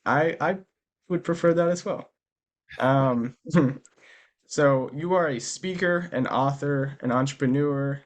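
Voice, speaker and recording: A man's voice: mid-range voice, slow. A man hosting a podcast in a friendly, chatty way, asking questions and laughing easily. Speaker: podcast host. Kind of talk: casual interview chat.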